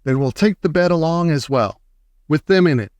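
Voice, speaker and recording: A man's voice: on the high side, lively intonation, moderate pace, a little mellow, no room sound, pitched above the performer's own voice, close to an everyday voice. Man voicing an eager, eccentric old factory owner. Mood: excited, urgent.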